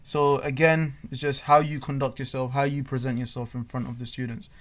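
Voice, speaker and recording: A man's voice: medium pitch, little pitch movement, brisk. A man co-hosting a casual chat, giving earnest advice to trainee teachers and often repeating words as he thinks. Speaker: podcast co-host.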